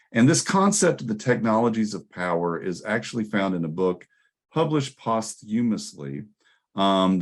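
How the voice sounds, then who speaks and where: A man with a low voice delivers an academic presentation. He explains in a scholarly manner, pausing and hesitating as he works through his points. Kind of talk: conference presentation, explanatory lecture.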